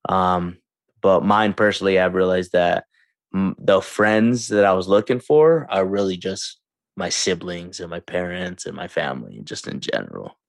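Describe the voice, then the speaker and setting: A man in his twenties chatting casually in a relaxed, slangy way, calling his friend "bro". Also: low voice, moderate pace, gravelly, no room sound. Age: 20s. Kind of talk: casual chat.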